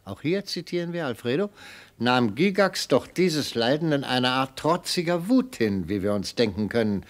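Actor as florid old prosecutor, swooping delivery. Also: male voice, medium pitch, lively intonation, normal speed, lightly acted. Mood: oratorical, relishing. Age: older. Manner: theatrical, rhetorical, relishing every phrase. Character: gleeful retired prosecutor, florid, sly old orator. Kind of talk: German radio play acting.

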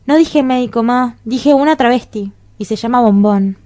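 Radio drama young woman, acted: Argentine, higher-pitched, blunt and offhand, an evasive daughter with little patience. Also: moderate pace, mellow, close to an everyday voice. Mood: evasive, curt. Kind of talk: radio play acting.

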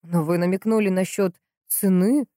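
A woman voicing a polite, sceptical, puzzled male police investigator in a crime audiobook, questioning in a measured way. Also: fairly low pitch, fairly even intonation, dark tone, dry recording, clean studio sound, lightly acted. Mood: probing, doubtful.

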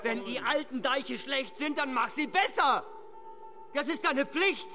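An actor playing a scornful village rabble-rouser, declaiming sarcastically in a radio drama. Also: male voice, very high voice, unhurried, music in the background. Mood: accusing.

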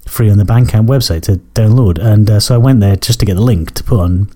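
A Scottish man hosting a music podcast, croaky from a cough, chatting in a rambling, self-correcting, apologetic way between tracks. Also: on the low side, fast, dark tone. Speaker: podcast host. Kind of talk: casual radio-style chat between tracks.

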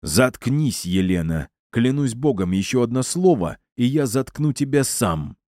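Man acting a stern, hot-tempered father, animated. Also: on the low side, unhurried, dry recording, studio quality. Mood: menacing. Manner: barking, commanding.

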